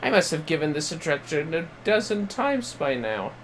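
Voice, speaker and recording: A man's voice: a little high, sing-song, moderate pace, a little hiss or hum, pitched above the performer's own voice. A man voicing a pompous, irritable scholar from a fantasy game, reading the dialogue in a formal, wordy, haughty way. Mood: proud, prattling.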